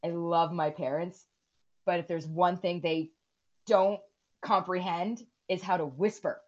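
A woman's voice: fairly low pitch, fairly even intonation, unhurried, a little mellow, dull audio. A woman in her forties, co-hosting a podcast and chatting casually, rambling and swearing freely. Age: 40s. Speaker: podcast co-host. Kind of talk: casual chat.

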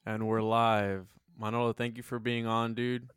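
Man, 20s, podcast host, smooth voice, dry close sound, philosophical, halting restarts. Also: mid-range voice, flat delivery, a bit bright, no room sound. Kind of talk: casual podcast chat.

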